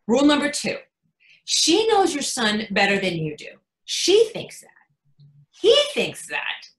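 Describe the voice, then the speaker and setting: Animated, blunt bride's mother played by a woman, echoey room. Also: on the high side. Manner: emphatic, knowing, deadpan punchlines. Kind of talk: readers theatre stage acting.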